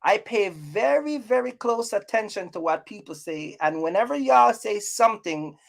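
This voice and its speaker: A man's voice: sits high, animated, normal speed, creaky. A man talking informally as a livestream host, using casual slang and often repeating his phrases. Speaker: live stream host.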